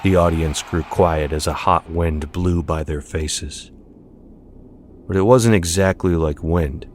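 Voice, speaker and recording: A man's voice: sits low, fairly even intonation, slow pace, a little hiss or hum. A man giving a dramatic, scripted audiobook narration of a story, with music behind him.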